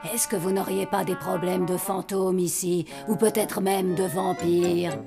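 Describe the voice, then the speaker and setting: A woman voicing a smooth-talking, inquisitive female ghost hunter in a cartoon. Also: fairly low pitch, flat delivery, a little slow, over music. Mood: polite, prying. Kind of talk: French animated series voice acting.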